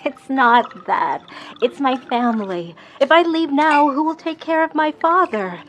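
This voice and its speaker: Hesitant young newlywed wife. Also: female voice, high-pitched, lively intonation, unhurried. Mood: torn. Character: young Jane, earnest newlywed wife torn by duty.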